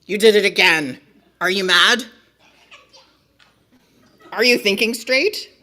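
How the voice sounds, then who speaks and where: A woman's voice: medium pitch, lively intonation, fairly bright tone. A woman reading a picture book aloud as a frantic, bossy narrator who scolds and begs the reader in an exasperated, melodramatic way. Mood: exasperated, scolding. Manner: exasperated, melodramatic, emphatic pleading with drawn-out warnings. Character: frantic, bossy, pleading storybook narrator begging reader to stop. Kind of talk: dramatic children's picture-book read-aloud.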